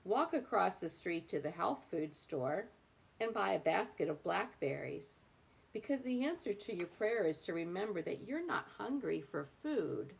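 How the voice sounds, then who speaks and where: Slow-reading woman with a creaky voice. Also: medium pitch. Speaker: reader of a book aloud for adults. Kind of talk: expressive book reading.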